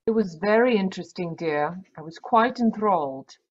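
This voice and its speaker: A woman's voice: mid-range voice, animated, normal speed, a little mellow, lightly acted. A woman playing a polite, sweetly practical wife in an old-time radio mystery, over muffled video-call audio. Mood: politely pleased.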